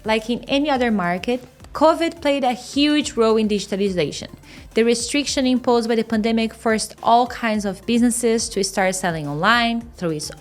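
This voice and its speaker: A woman from Brazil with a Brazilian Portuguese accent, hosting a podcast and delivering a scripted, informative monologue in a measured way. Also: on the high side. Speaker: podcast host.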